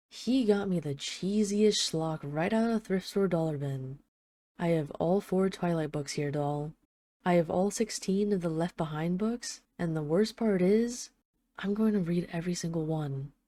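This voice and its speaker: A woman's voice: on the low side, no echo, lightly acted. A woman playing an affectionate, wry mafia boss who misses her partner, speaking in an intimate, conversational roleplay monologue like a voicemail. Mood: amused exasperation. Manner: conversational, affectionate, gently self-deprecating, wry. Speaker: audio roleplay voice actor.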